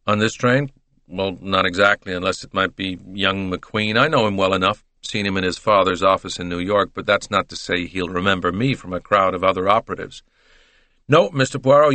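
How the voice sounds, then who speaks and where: A man's voice: deep voice, bright, forceful tone, dry recording. A man voicing a breezy, slangy private detective in an audiobook. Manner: blunt, casual.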